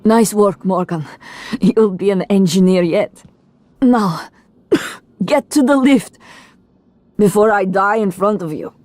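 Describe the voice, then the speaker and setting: An actress playing a blunt, sardonic station engineer who is unwell and struggling for breath in a video game. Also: female voice, mid-range voice, takes it slowly, pauses often, a little mellow, dry recording, natural voice. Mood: pained, impatient. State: coughing, struggling lungs. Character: tough, sardonic ailing female station engineer.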